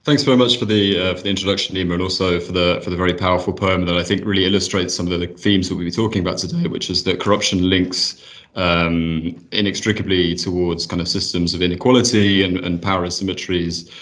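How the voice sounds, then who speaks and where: A man's voice: deep voice, steady tone, fast talker, a bit bright. A man speaking as an expert guest on a podcast, giving analytical answers in an articulate, formal and polite way. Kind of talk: measured analytical discussion.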